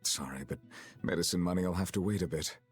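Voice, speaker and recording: Deep, reserved actor as stoic mentor. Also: male voice, soft background music, close to an everyday voice. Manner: measured, reserved. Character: calm, stoic adult swordsman, family guardian and mentor. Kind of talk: anime-style video game acting.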